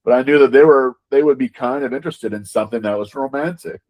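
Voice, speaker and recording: A man's voice: medium pitch, quick pace, darkish tone. A man giving conversational interview answers as a game designer, emphatic, repeating words and often checking in with rhetorical questions.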